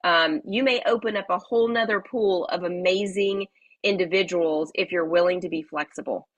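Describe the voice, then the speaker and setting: A woman hosting a live webinar, talking in a friendly, chatty way with many fillers, slightly raspy from a cold. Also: lowish voice, steady tone, normal speed, no echo, muffled recording. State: hoarse from a cold. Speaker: webinar host.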